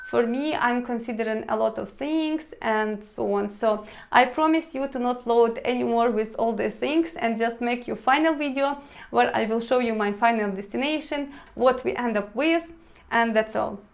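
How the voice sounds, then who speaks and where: A woman with a Ukrainian accent, her first language Ukrainian, speaks to her viewers in a fairly high voice. She is in her thirties and talks earnestly and plainly, often calling her audience "guys". Her delivery is direct and personal, in the manner of a vlog host. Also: normal speed, creaky. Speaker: channel host.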